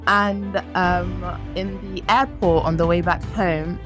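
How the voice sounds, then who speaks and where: 19-year-old French-born woman, lowish voice. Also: steady tone, slow pace, music in the background. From France. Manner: chatty, laughs often, many ums. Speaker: guest. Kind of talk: casual interview chat.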